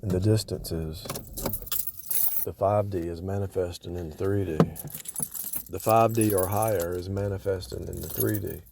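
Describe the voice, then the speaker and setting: A man's voice: low voice, steady tone, moderate pace, creaky, background noise. A man giving casual, off-the-cuff spiritual teaching in a rambling way, repeating and rephrasing himself for emphasis. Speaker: religious vlogger.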